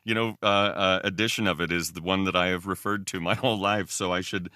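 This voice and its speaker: A male podcast guest with a deep voice that still has a bright, crisp edge. He chats casually, with a dry, close sound around him. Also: steady tone, fairly quick, no echo. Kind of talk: casual chat.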